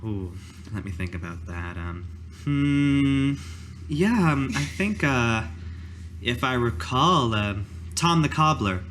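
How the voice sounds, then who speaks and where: A woman's voice: very deep voice, lively intonation, slow pace, a little hiss or hum, heavily acted. A woman voicing a courteous, helpful small-town local in a tabletop game, with mildly old-fashioned turns of phrase.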